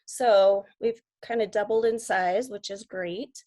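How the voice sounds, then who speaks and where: A woman with a slightly raspy voice from a cold, talking casually and earnestly as a coach on a video call. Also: mid-range voice, steady tone, slow, dark tone. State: sick with a cold. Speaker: guest coach.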